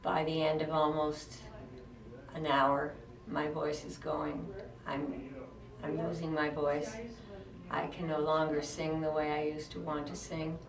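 Older woman with a low, raspy, fading voice. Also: little pitch movement, a little slow, distant mic, crowd noise. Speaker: interviewee in a clip. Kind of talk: answering interview questions. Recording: echoey room, off the mic.

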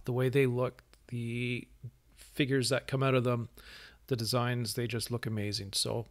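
A man hosting a woodworking channel, narrating his project steps in a relaxed voice-over and explaining each step plainly. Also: mid-range voice. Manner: easygoing, explains steps plainly with small restarts.

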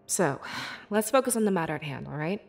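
A woman playing a young, devoted beast-folk scribe in a fantasy video game, with earnest, measured and expressive delivery. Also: fairly low pitch, vocal fry, soft background music. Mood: explanatory, friendly. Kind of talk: scripted video game character dialogue.

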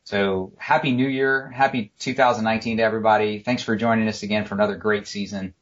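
Male podcast host with a low voice and a friendly, loose delivery full of fillers, on muffled lo-fi audio. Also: fast. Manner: friendly, relaxed, with frequent "uh" fillers. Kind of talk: casual podcast interview.

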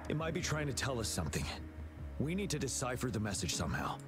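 An actor voicing a steady, serious young male warrior leader in a video game, speaking earnestly and decisively. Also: medium pitch, fast talker, lightly acted. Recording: game dialogue over music.

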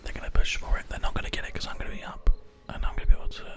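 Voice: very fast, music in the background. A person whispering ASMR commentary over video gameplay, close to a binaural microphone. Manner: whispering throughout. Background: keyboard typing and mouse clicks.

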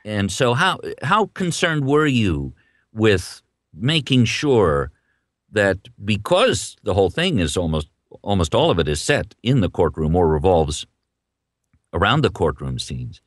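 A man with a deep voice and lively intonation conducts an interview. His questions are conversational and come out in halting phrases, in a dry, close recording. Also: dry recording.

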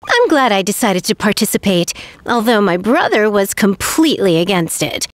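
A woman's voice: mid-range voice, sing-song, normal speed, no echo, studio quality, close to an everyday voice. A woman voicing a polite, earnest young princess warrior in an anime game. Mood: warm, earnest.

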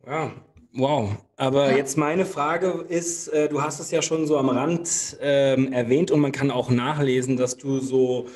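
A man's voice: medium pitch, fairly even intonation, measured pace, darkish tone. A male podcast co-host asking questions and joking bluntly in casual chat.

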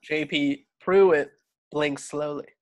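A man's voice: a little high, steady tone, slow. A man narrating scene directions from a script at an informal online table read. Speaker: narrator reading stage directions. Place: indoors at home on a video call. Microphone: video call.

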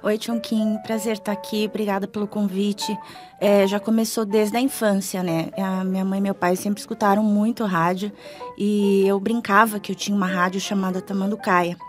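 A woman's voice: medium pitch, flat delivery, normal speed. A middle-aged woman with a Brazilian accent chatting informally as a radio interview guest, often adding tag questions. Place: small foam-padded radio studio. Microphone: studio microphone. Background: faint music.

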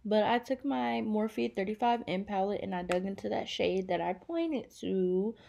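A young woman talking casually through a makeup tutorial, relaxed and chatty with many fillers, in a small bedroom on a device mic. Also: medium pitch, creaky. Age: young adult. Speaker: beauty vlogger.

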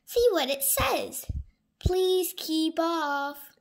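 A woman voicing an excited, bossy but friendly little boy in a storybook, exclaiming and giving instructions. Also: very high voice, drawn-out words, vocal fry, pitched above the performer's own voice, heavily acted.

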